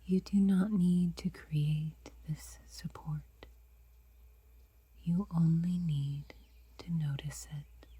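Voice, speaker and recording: A woman guiding a meditation in a soft, breathy whisper, unhurried and gentle, leaving long pauses. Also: sits low, fairly even intonation, no room sound. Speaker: meditation guide.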